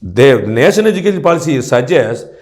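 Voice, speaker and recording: A man's voice: mid-range voice, sing-song, a little fast, darkish tone, roomy sound. Male interview guest, pointed and repetitive, with many fillers and restarts. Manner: rhetorical questions, frequent fillers and restarts. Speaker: political guest commentator. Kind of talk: interview answers, argumentative.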